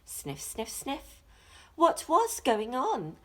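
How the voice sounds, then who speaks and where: Lady teacher reading a rhyming kids story, super animated, slow and deliberate, bit husky. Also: sits high. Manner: dramatic, deliberate, rhythmic rhyme reading. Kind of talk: expressive children's picture book narration.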